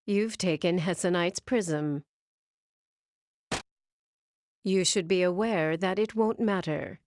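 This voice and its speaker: A woman playing a cold, haughty female gem engineer villain in an animated game, speaking in a deliberate, clinical, condescending way. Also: lowish voice, slight background noise, close to an everyday voice. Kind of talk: animated game cutscene acting.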